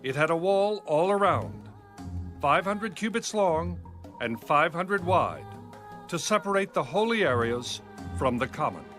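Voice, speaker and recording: A man's voice: on the high side, sing-song, a little slow, fairly bright tone, soft background music. A man narrating scripture in a scripted, dramatic audio Bible style.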